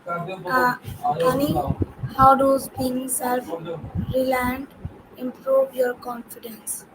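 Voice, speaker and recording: A woman student reading prepared interview questions, halting and restarting words as she goes. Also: high-pitched. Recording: noisy home ambience.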